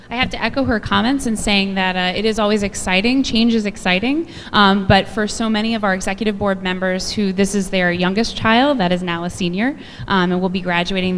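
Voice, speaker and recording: A woman who directs the band, hosting a school concert and speaking with many pauses and fillers. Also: mid-range voice, fairly even intonation. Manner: warm-hearted, hesitant with many ums. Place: school auditorium. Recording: echoey hall with faint noise.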